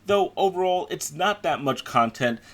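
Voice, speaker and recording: A man's voice: a little high, animated, moderate pace, a bit bright. A man giving a conversational, opinionated voice-over review as a video game critic.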